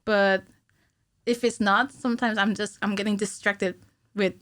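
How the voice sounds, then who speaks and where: A woman in her thirties explaining things informally and haltingly as a guest in a casual studio interview. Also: mid-range voice, steady tone, a bit bright. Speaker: podcast guest, cinematic animator. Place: small podcast studio indoors. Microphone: studio microphone on stand, with headphones.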